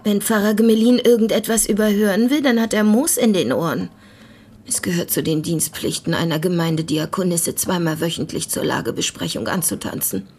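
A woman's voice: on the low side, expressive, fairly quick, a little mellow, breathy edge. A woman playing a wry, tart parish deaconess, a pointed and somewhat evasive witness in a radio play.

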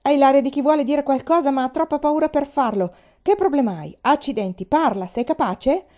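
A woman voicing a big, hot-tempered, bullying older man in an audiobook, booming and firing off blunt questions. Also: brisk, dark tone, lo-fi sound, close to an everyday voice. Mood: angry, impatient. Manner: blustering, interrogating, exclamatory. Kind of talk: audiobook character voice.

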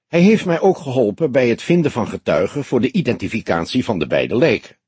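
Man playing young male detective, impatient, questioning, animated intonation, audiobook reading. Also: mid-range voice, fairly quick, breathy edge, no echo. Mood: eager, pondering. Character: young male detective sidekick, eager, restless, easily irritated.

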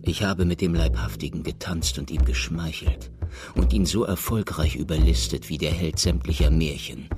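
An actor playing a proud, contemptuous schoolteacher in a radio drama, speaking in a grandiose, brooding manner. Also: male voice, extremely low pitch. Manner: grandiose, brooding, literary diction. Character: proud, contemptuous schoolteacher, self-styled hero, triumphant and haunted. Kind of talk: dramatic radio play monologue. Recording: voice over music.

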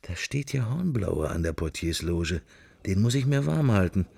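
A man's voice: lowish voice, very animated, normal speed, creaky, mellow, breathy edge, heavily acted. An actor playing a wry middle-aged everyman musing to himself in clipped fragments in a radio play. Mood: idle, amused. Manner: clipped, wandering interior monologue. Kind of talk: intimate literary radio play monologue.

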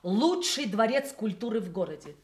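Soviet radio play: committee secretary, precise bureaucratic phrasing, highish lively voice. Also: female voice, normal speed. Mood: indignant, reporting. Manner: formal, precise, official phrasing. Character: indignant, formal female party committee secretary.

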